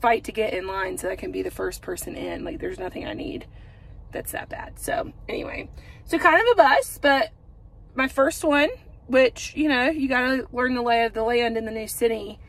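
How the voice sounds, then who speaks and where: A woman's voice: fairly high pitch, steady tone, normal speed, creaky. A woman in her thirties, a home decor vlogger, rambling chattily to her phone from a parked car, with lots of fillers. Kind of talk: casual chat to camera. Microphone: phone camera at arm's length.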